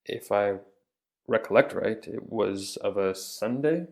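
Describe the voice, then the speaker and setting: A man's voice: lowish voice, slow, vocal fry, a little mellow. A man playing a plain-spoken 1890s witness under cross-examination, giving short, polite answers. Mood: steady. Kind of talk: dramatic reading of a trial transcript.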